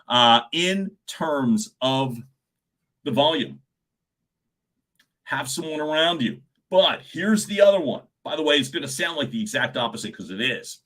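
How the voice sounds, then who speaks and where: A middle-aged man with a loud speaking voice coaches viewers on a live stream, and his tone is bright and forceful. He talks in lively bursts, with his pitch and volume rising and falling. He often chuckles between points. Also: measured pace, rough recording.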